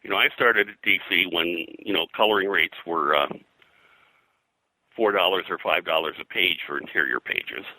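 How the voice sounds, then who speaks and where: An older American man reminiscing as an interview guest, in a halting, conversational way full of verbal filler. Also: low voice, pushed and bright, dry recording, rough recording. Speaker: interview guest, veteran comics colorist.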